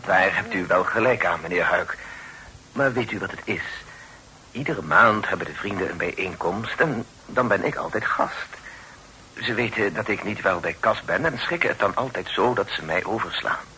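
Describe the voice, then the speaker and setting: A humble poet in a period radio drama, lowish in pitch with a bright, forward tone. He talks in courteous, wordy phrases, full of gratitude, over faint recording noise. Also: normal speed, poor audio. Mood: deferential, pleading. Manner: deferential, wordy, genteel old-fashioned speech. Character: humble, effusively grateful amateur poet, Mr Helding. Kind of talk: period radio play acting.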